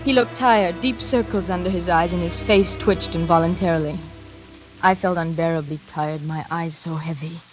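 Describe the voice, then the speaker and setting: An actress playing an anxious, trapped daughter in a 1940s suspense radio drama, tense and dramatic, halting when flustered. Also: female voice, fairly low pitch, close to an everyday voice, rough recording. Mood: weary, haunted. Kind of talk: 1940s radio acting, first-person suspense narration. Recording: vintage radio drama with music behind.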